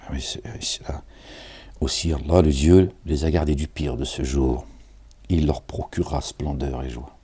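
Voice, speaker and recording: Very deep, dark husky male preacher. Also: fairly even intonation, normal speed. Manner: casual, digressive, chuckles, addresses listeners directly. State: tired. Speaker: self-styled preacher reading and commenting on scripture. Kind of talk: informal scripture reading with commentary.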